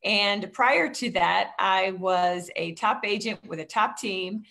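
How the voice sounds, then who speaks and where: A woman's voice: mid-range voice, slow pace, vocal fry, pushed and bright. Chatty woman with a faint Philly accent. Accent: Philadelphia. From Philadelphia area. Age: 50s. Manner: chatty storyteller with frequent ums. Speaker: guest, real estate coach. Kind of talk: casual video-call interview. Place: home room, indoors. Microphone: video call.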